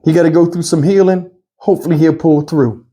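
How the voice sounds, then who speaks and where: A man's voice: a little high, fairly even intonation, brisk, warm tone. A man giving off-the-cuff commentary to his viewers in an emotional, exasperated, pleading manner. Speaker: YouTube news commentator.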